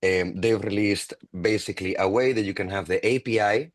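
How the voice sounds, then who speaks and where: A man's voice: fairly low pitch, normal speed, no echo, studio quality. A man on a community video call, explaining a new tech tool casually with many fillers and a habit of ending points with "no?". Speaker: product manager on community call.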